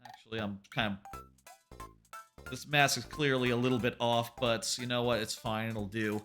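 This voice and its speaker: A man livestreaming a game, talking casually in a loud voice that rambles and corrects itself, sitting about an arm's length from his microphone. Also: medium pitch, steady tone, moderate pace, faint music behind. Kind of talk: casual live gameplay chat.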